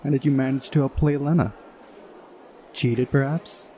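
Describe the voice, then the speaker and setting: A man's voice: medium pitch, steady tone, moderate pace, warm tone, slight background noise, natural voice. A man voicing a cheeky, mischievous girl in a visual novel, taunting and petulant. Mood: teasing, defiant. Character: bratty, mischievous, cheeky girl card player.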